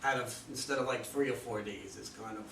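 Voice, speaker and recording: A man's voice: on the low side, brisk, gravelly, a bit bright. A man, a playwright, talking informally and hesitantly in a question-and-answer session, playing himself down. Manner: hesitant, self-deprecating. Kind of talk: informal question-and-answer after a play reading. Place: room at a live play reading. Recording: roomy distant mic, faint noise.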